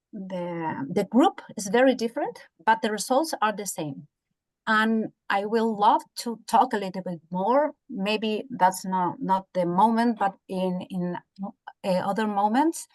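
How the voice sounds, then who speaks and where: A woman from Chile with a Chilean accent and native Spanish, giving halting feedback as a university educator on a video call, searching for words. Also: medium pitch, rough recording. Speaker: university educator, audience member commenting. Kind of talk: spoken feedback in an online academic discussion.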